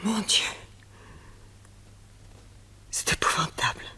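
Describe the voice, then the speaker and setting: A woman playing a haughty, shaken widow in a radio mystery, speaking formally with a breathy voice. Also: slow pace, sharp tone, in a whisper. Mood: horrified. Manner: formal, indignant. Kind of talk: old French radio drama acting.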